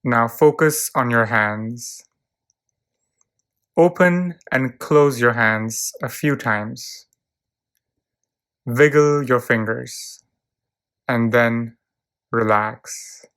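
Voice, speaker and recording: A narrator guides a relaxation exercise in a clean, smooth voice at a medium pitch. The delivery is very slow and soothing, with short calm phrases set apart by long pauses. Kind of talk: guided visualization, relaxation script.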